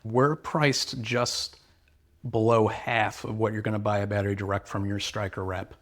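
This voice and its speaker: A man's voice: lowish voice, flat delivery, moderate pace. A man, an earnest, sales-minded company representative explaining products and service in interview answers.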